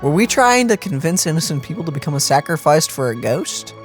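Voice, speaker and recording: A man's voice: fairly high pitch, very animated, a little fast, faint music behind. A man doing casual let's-play reaction commentary, with a wry, teasing manner. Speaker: gaming channel streamer.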